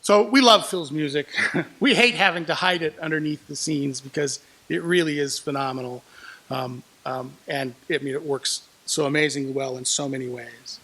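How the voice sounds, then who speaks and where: A man's voice: on the high side, normal speed, sharp tone, poor audio. A man giving an informal explanatory presentation as a producer, often searching for his words.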